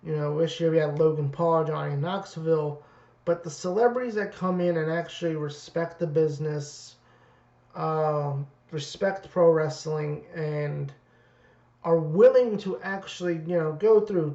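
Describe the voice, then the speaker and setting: Raspy slow-talking man in a roomy space. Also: fairly high pitch, a little mellow. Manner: rambling, many fillers and restarts. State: raspy voice from two nights of events. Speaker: wrestling reviewer. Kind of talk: casual solo review. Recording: dull roomy lo-fi audio.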